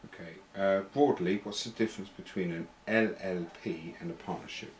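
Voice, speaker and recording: A man's voice: low-pitched, moderate pace. A man acting as interviewer, putting short plain questions in a training interview. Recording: roomy echo, faint background noise.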